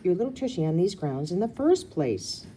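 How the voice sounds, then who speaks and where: A woman voicing a firm, exasperated mother who scolds with drawn-out emphasis in a storybook reading. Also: fairly low pitch, very animated, warm tone, slight background noise, pitched above the performer's own voice.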